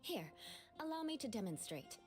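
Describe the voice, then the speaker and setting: A woman voicing a proud aristocratic young woman knight in game dialogue, speaking in a formal, measured, lofty manner. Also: a little high, big pitch swings, faint music behind.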